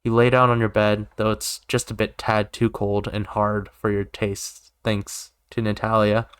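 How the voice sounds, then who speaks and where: Low-voiced man reading a visual novel. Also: flat delivery, unhurried, clear, resonant tone, dry recording. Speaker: gaming YouTuber.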